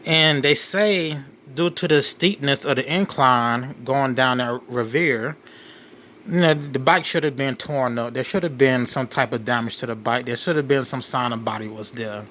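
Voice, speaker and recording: A man hosting a crime commentary, reading out case details in a halting way and often repeating his phrases. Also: medium pitch, pushed and bright, a little hiss or hum. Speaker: show host.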